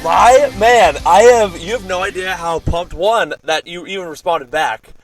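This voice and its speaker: Male podcast host, eager and excitable, slightly high voice, filler-heavy questions. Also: unhurried, faint music behind, low-quality recording. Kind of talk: casual interview.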